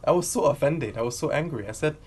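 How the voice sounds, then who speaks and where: A man's voice: on the high side, animated, quick pace, a little mellow, slightly rough. A young man from China telling his own learning story to camera in an earnest, reflective way. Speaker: vlogger.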